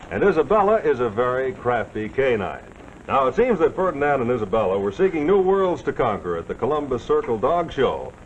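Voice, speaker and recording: An American man working as announcer and pitchman, with a folksy, jovial late-1940s television sponsor delivery. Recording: dull, noisy old 1940s soundtrack.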